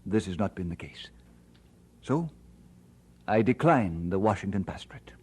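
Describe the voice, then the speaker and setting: A dark, mellow male voice sitting on the low side, a conscientious minister in a radio play. He speaks with measured earnestness, weighing his words as someone who takes his calling very seriously. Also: lively intonation, breathy edge. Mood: grave, resolved. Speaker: actor in an audio drama. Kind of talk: dramatised radio-play acting.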